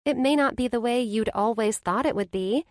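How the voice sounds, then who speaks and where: A woman voicing a bubbly young best friend who talks in a gentle, reassuring, lilting way. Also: on the high side, moderate pace, no echo, clean studio sound, pitched above the performer's own voice, lightly acted. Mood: compassionate. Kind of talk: audiobook character voice.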